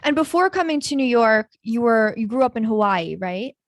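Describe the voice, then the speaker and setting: A woman's voice: on the high side, lively intonation, moderate pace, dry recording. Young woman host, upbeat questioner, dry close recording. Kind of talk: interview questions.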